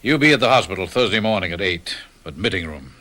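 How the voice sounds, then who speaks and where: An actor playing a middle-aged American doctor in a radio drama, a frank and reassuring old friend who talks in a deliberate, professional way. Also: male voice, low-pitched, expressive, pushed and bright, heavily acted. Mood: guarded, businesslike. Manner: deliberate, professional, plain-spoken.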